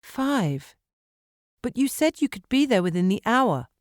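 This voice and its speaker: A woman's voice: fairly high pitch, very animated, measured pace, dry recording, clean studio sound, pitched above the performer's own voice. A woman narrator voicing a frantic, defiant woman in an audiobook, urgent and pleading. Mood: alarmed. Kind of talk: audiobook character acting.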